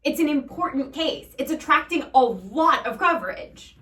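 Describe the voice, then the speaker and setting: An actress playing a sensible, pragmatic young daughter in a naturalistic audio drama. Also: female voice, high voice, animated, moderate pace, a bit bright. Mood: placating, pressing. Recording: distant microphone in echoey room.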